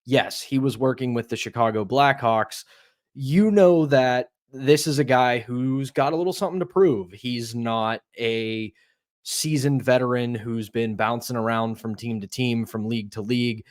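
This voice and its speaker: A man's voice: mid-range voice, unhurried, dry recording, studio quality. A man in his thirties co-hosting a sports podcast, giving casual, opinionated commentary in a conversational way. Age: 30s. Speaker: podcast co-host.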